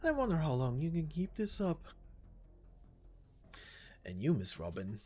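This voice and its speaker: A man voicing a sadistic, mock-polite gentleman villain in a game story, savoring his words in a theatrical, drawn-out delivery. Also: a little high, huge pitch swings, breathy edge, soft background music, voice pushed up, full character voice. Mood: coaxing menace. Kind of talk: dramatic game story voice acting.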